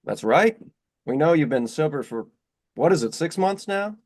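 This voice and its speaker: Actor as a blunt, well-meaning young husband. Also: male voice, fairly high pitch, animated, normal speed, darkish tone. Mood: blunt, upbeat. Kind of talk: virtual staged reading.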